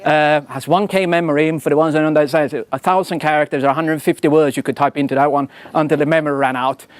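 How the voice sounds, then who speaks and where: A man's voice: a little high, fairly even intonation, quick pace, no echo. A Swedish man in his forties with a Swedish accent, giving a casual, self-deprecating conference talk full of fillers and tag questions. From Sweden. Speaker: engineering leader giving a talk.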